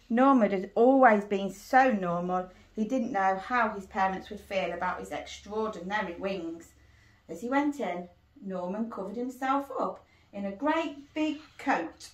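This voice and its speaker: A middle-aged woman, a primary school teacher, reading a picture book aloud to children in an expressive, deliberate storytelling manner, recorded on a phone indoors. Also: medium pitch. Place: small cosy sitting room indoors. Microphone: handheld phone a short distance away. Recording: roomy echo, phone recording.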